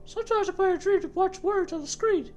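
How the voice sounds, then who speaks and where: A woman's voice: very high voice, fairly quick, darkish tone, faint music behind, voice pushed up. A woman voicing a fervent, dreamy godlike being in a dramatic reading of game dialogue, with emphatic delivery. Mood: dreamy wonder.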